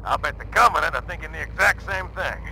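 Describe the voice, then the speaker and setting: An actor playing a tough veteran marine sergeant in a video game, gruff and cocky. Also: male voice, a little high, fast talker, bright, forceful tone, slight background noise, heavily acted, low-quality recording. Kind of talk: video game cutscene acting.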